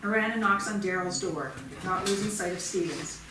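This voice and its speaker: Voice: medium pitch, normal speed. Distant, very echoey stage directions reader. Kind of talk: scripted stage directions, read aloud. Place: theatre stage, recorded from a distance. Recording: distant mic, echoey theatre, clear noise.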